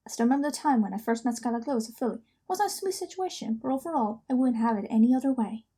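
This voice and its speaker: A woman hosting a reaction video, commenting casually and spontaneously with excitable fangirl exclamations. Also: fairly high pitch, very fast, breathy edge.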